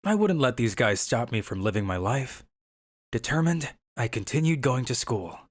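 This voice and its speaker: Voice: mid-range voice, sing-song, moderate pace, a little hiss or hum, lightly acted. A performer voicing a brooding, cowardly teenage schoolboy who narrates a manga story in a melodramatic, scheming way. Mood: brooding, vengeful. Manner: melodramatic, sinister chuckling schemer.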